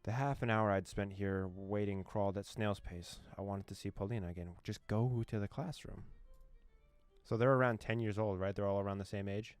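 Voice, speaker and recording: A man with a low voice hosts a video game playthrough. He moves between reading story text aloud and casual, slangy commentary, with faint music behind him. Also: fairly even intonation, moderate pace, no room sound. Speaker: gaming channel host.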